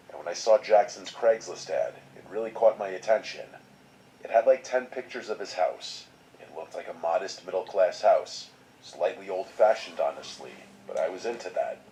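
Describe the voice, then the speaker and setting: Male narrator, deep voice, steady scripted read of a horror story. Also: moderate pace, a little hiss or hum, low-quality recording. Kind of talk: scripted first-person narration.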